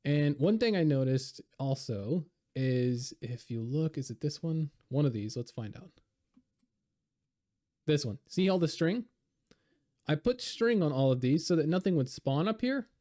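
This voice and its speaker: Man, raspy and hoarse from illness; mellow, laid-back rambling commentary over gameplay. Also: fairly high pitch, a little slow. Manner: relaxed, rambling, many fillers. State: hoarse from being sick. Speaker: game video creator.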